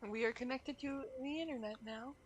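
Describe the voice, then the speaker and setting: A woman's voice: high-pitched, brisk, background noise. A woman chatting casually while streaming a game.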